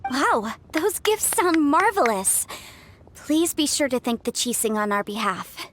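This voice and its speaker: A woman's voice: high-pitched, animated, unhurried, a bit bright, slight background noise. An actress voicing a breezy, self-assured young woman consultant in a video game, articulate and playfully defensive. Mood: cheerful.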